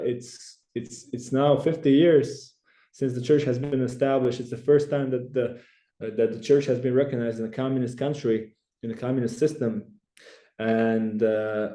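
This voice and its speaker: Earnest Croatian man, mellow and roomy. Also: mid-range voice. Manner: earnest, halting, many restarts. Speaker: church branch president, guest. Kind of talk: podcast interview.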